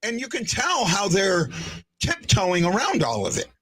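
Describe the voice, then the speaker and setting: A man's voice: sits high, huge pitch swings, measured pace, bright, forceful tone. A man hosting a sports podcast in casual chat, often hesitating, restarting sentences and checking in with "right?". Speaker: podcast host.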